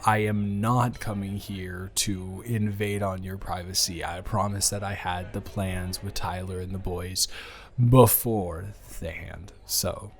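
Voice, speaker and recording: A man playing a smitten, awkwardly playful friend with benefits in an improvised romantic audio roleplay, speaking hesitantly and teasingly. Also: sits low.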